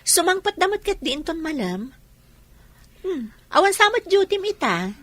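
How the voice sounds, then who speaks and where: Radio drama role: affectionate young heiress, acted by a woman, confident, crisp bright delivery, lively intonation. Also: very high-pitched, normal speed, no room sound, heavily acted. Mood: teasing. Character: confident, affectionate young businesswoman, wealthy daughter.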